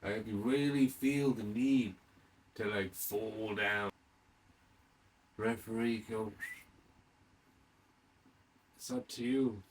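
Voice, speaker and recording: A man in his late thirties with a fairly low voice talks off the cuff, as if recorded some way from the microphone. He circles back over his phrases, restating them haltingly, and throws questions out to the listener. A faint layer of noise sits behind him. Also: steady tone. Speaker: podcast host. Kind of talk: rambling unscripted monologue. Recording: distant mic with faint background noise.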